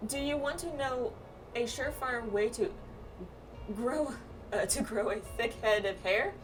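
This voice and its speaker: A woman's voice: a little high, a little slow, lightly acted. A woman voicing a breezy, confident astrologer guide from a fantasy game, chatty and teasing as she reads quest dialogue aloud. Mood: playful, boastful. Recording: distant mic, music behind.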